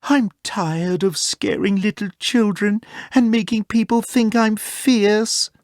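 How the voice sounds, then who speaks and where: A man voicing a big but gentle-hearted storybook bear, sad and anxious, in a plaintive, earnest way. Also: high-pitched, slow pace, no room sound, clean studio sound, pitched above the performer's own voice. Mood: sad, weary.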